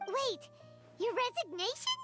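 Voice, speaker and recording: A woman's voice: very high-pitched, sing-song, unhurried, pushed and bright, exaggerated voice. A woman voicing a small, bubbly, childlike fairy companion in a video game, with music underneath. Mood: curious. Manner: refers to self in third person, bubbly.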